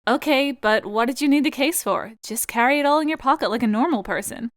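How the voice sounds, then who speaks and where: A woman's voice: high-pitched, fairly quick, no room sound, clean studio sound, lightly acted. A woman voicing a sweet-talking pelican secretary with a hidden sinister streak, in a parody cartoon dub. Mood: sweet, breezy.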